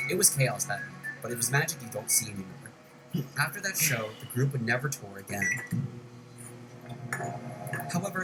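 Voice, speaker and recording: A man's voice: medium pitch, poor audio. A man delivering scripted, documentary-style narration for a video essay. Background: music.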